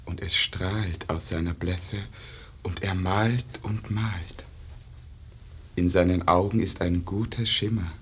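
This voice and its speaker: An actor playing a grave, sorrowful young man in a 1950s radio verse drama, speaking with measured, melancholy weight. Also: sits low, steady tone, fairly bright tone, slight background noise. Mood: subdued relief.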